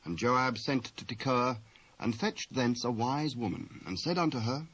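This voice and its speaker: A man's voice: medium pitch, expressive, normal speed, a bit bright. A British man giving a scripted dramatic narration of the Bible in formal archaic English. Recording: dull old cassette transfer.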